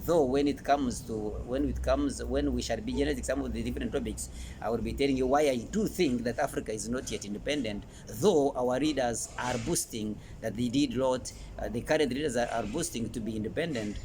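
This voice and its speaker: Ugandan male educational presenter, emphatic and halting delivery, mid-pitched voice, some background noise. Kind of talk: informal educational presentation to camera.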